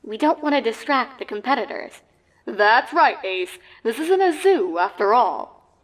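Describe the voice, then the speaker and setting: A woman voicing showy, over-excited cartoon pony sports announcers in grandiose mock commentary. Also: a little high, poor audio. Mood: officious. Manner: grandiose, drawn-out introductions.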